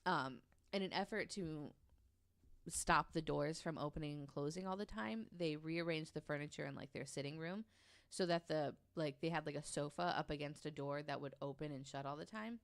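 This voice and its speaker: A woman's voice: low voice, moderate pace, no echo. A woman telling a true story casually on a podcast, reading quotes aloud in an informal, hesitant way.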